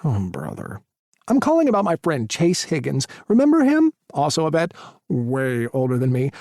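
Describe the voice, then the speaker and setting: A man's voice: on the high side, huge pitch swings, moderate pace, a little mellow, dry recording, clean studio sound. A man voicing a sassy, flamboyant young male veterinarian in his twenties, camping it up on a mock phone call. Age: 20s.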